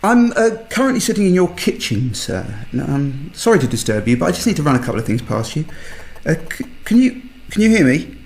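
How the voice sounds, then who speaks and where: An actor playing a polite, officious British policeman in a radio drama, halting and apologetic. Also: a little high, very animated, creaky, a little mellow, slight background noise. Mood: apologetic, probing. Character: polite, hesitant policeman delivering awkward news. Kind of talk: naturalistic radio drama acting.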